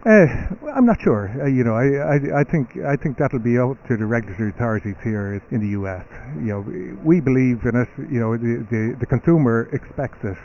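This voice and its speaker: A man's voice: mid-range voice, a little fast, hardly any pauses, dull audio, slight background noise. A middle-aged Irish man talking as a guest on a live radio interview, often restarting words and using "you know". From Ireland. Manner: repeats words, many "you know" fillers. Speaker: company CEO guest.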